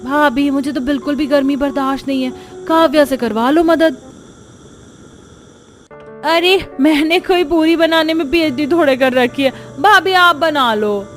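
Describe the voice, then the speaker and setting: A woman's voice: sits high, a little slow, soft background music, voice pushed up. A woman voicing a lazy, teasing young sister-in-law in a cartoon, pert and whining. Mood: whiny.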